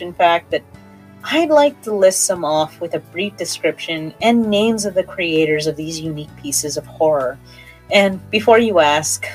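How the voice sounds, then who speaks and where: A woman hosts a horror podcast in a playful, conversational style. Her voice sits a little low and moves in animated swings of pitch. Music plays faintly behind her. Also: slightly rough, poor audio. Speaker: podcast host.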